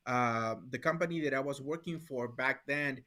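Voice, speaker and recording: A man's voice: medium pitch, normal speed, pushed and bright. A middle-aged man from Mexico with a Mexican Spanish accent, a guest in an interview, speaking earnestly and often repeating words as he restarts. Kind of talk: conversational interview.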